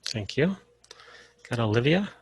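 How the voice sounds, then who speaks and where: A man from Colorado leading a casual online class over a video call, relaxed and full of "uh" fillers. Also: medium pitch, unhurried, husky, low-quality recording. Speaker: course instructor.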